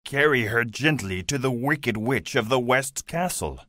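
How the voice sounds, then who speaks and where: A woman voicing a large, solemn male animal in a storybook, speaking deliberately. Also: rumbling low, lively intonation, a bit bright, pitched below the performer's own voice, exaggerated voice. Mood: commanding. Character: large male storybook beast, lion or monkey king, solemn.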